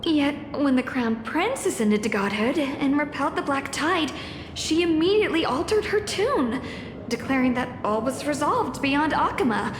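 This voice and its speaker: Woman playing an earnest high-voiced student. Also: noisy background, lightly acted. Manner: polite, formal, earnest. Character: courteous, earnest young female student. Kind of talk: fantasy video game acting. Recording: echoey hall with music behind.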